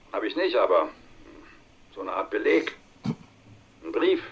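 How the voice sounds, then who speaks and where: An older man, an actor playing an embittered, stiff old army major in a radio play, with deliberate, biting delivery. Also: lowish voice, husky, muffled recording, exaggerated voice. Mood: guarded. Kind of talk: radio drama dialogue, letter reading.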